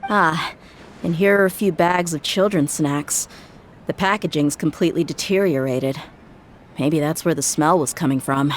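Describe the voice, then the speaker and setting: A woman's voice: low voice, moderate pace, a little hiss or hum, close to an everyday voice. A woman playing a tough, blunt young mercenary in a video game, dry, frank and wry. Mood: curious.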